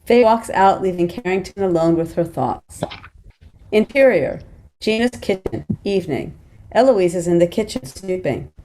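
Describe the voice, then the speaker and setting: A woman's voice: on the low side, mellow, low-quality recording. A woman reading screenplay stage directions as scripted narration.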